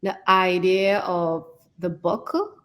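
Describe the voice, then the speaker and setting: A woman's voice: fairly low pitch, slow. A woman co-hosting a casual podcast chat as an author, often checking in with "right?". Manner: conversational, often adds "right?" as a tag.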